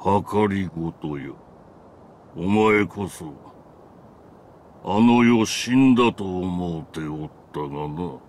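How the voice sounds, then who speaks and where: An actor playing a scheming, ambitious old shinobi father in a video game, with a measured, falsely humble manner. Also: deep voice, a little mellow, a little hiss or hum. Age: older. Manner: slow, measured, feigned humility. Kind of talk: dramatic video game acting. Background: music.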